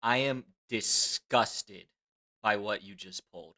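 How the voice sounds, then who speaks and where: A man's voice: fairly low pitch, takes it slowly, bright, forceful tone. A man streaming, bantering casually and teasing with mock indignation.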